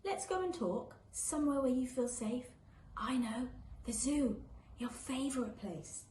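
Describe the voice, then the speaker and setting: A woman's voice: high-pitched, expressive, measured pace, a little mellow. A woman voicing a kind, encouraging young girl in a children's storybook, coaxing and caring. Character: kind, encouraging young girl helping her sad friend. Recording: echoey room with faint background noise.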